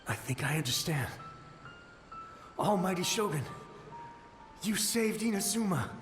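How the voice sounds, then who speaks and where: An actor playing a shell-shocked ghostly soldier in a video game, talking in a desperate, halting, pleading way. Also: male voice, high voice. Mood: grateful. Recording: echoey, reverberant, faint music.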